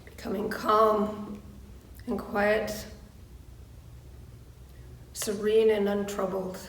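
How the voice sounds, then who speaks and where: A woman minister giving a sermon and prayer in measured, deliberate short phrases with pauses. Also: medium pitch, little pitch movement. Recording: roomy echo, distant microphone.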